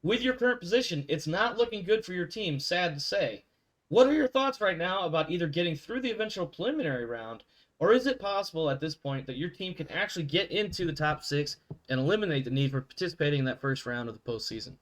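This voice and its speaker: A man hosts an in-character sports league broadcast in a somewhat high voice. His pitch swings widely as he reads his intros, and he keeps fixing his own slips with quick asides. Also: low-quality recording. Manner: animated, self-correcting with quick asides. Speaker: show host. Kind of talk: in-character sports show hosting.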